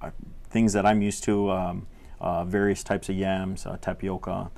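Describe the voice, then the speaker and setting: Young man, from Tonga, very low voice, gravelly, hesitant interview answers. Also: little pitch movement, moderate pace, darkish tone. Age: young adult. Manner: hesitant, many fillers and restarts. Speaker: legislator guest.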